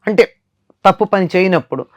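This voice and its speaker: A woman's voice: lowish voice, expressive, a little fast, muffled recording. An older woman giving a moral discourse in conversation as a spiritual teacher, emphatic and repeating phrases to drive points home.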